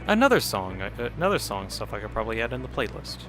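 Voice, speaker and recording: A man's voice: on the low side, sing-song, quick pace. A man streaming a game, chatting casually and rambling as he plays, a bit nasal from a cold. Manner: rambling, reacts to chat, chuckles often. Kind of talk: casual commentary while playing. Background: game music playing.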